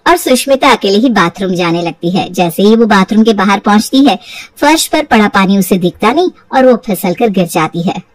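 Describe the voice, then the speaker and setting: A woman narrating a scripted story as an expressive, dramatic storyteller. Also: mid-range voice, normal speed, far from the mic.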